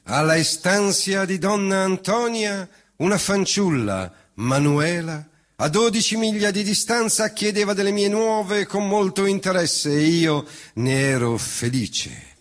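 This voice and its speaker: A man's voice: sits high, unhurried. A man reciting a verse memoir in a lyrical, declamatory stage manner. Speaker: reciting actor. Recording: loud band music behind the voice. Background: wind band accompaniment.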